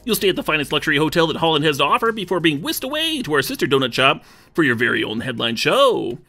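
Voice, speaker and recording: A slick prize announcer, played by a man, rattling through upbeat sales patter. The voice is raised and bright, like an infomercial pitch inside a story reading. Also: big pitch swings, fast talker, heavily acted.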